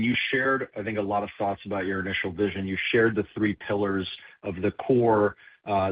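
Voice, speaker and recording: Man, low husky voice, analyst question, informal phrasing, muffled lo-fi line. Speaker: financial analyst asking a question. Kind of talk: conversational earnings call question. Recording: muffled lo-fi conference phone line.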